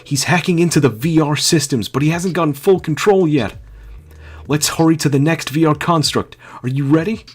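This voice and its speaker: A man voicing an eager, nervous tech-support ally in a video game story, with a hurried, excitable manner. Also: a little high, husky, voice pushed up. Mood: urgent.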